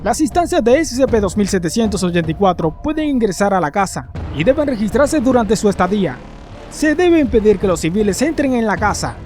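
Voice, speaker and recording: A man's voice: high-pitched, very animated, a little fast, darkish tone, soft background music. A man reading scripted narration in the manner of a formal report for a horror fiction channel.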